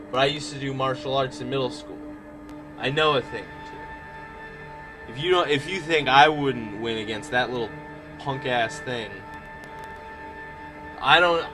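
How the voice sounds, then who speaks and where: A man's voice: medium pitch, close to an everyday voice, low-quality recording. A man playing a boastful, awkward monster-show host, rambling and defensive as he presents a mock documentary.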